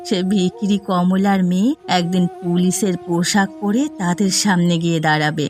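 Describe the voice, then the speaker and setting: A woman plays a gentle village mother in a cartoon fairy tale. She sounds middle-aged, tender and wistful, like someone who has endured hardship. Faint music plays behind her. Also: on the low side, steady tone, normal speed, lightly acted. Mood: wistful, proud.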